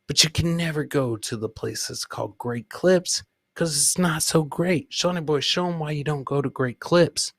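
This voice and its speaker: A man's voice: a little high, moderate pace, fairly bright tone, no room sound, clean studio sound. A man hosting a live comedy show, riffing casually on clips in a rambling, profane, jokey way.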